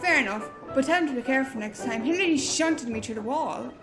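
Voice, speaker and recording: Man doing a brisk, scolding old van. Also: very high voice, expressive, over music, pitched above the performer's own voice. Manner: sharp, scolding, quick. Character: brisk, no-nonsense old female railway van.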